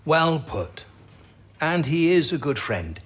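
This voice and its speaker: An actor playing a stubborn but kindly, ailing wartime codebreaking chief in a period radio drama. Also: male voice, a little high, very animated, slow, fairly bright tone, heavily acted. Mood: gentle, fond.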